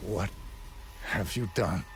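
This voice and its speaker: An actor playing a terse, grave male assassin in a video game, with a gravelly voice and halting delivery. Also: sits low, heavy background noise. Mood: grave, strained. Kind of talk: cinematic video game acting. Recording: loud music over the voice.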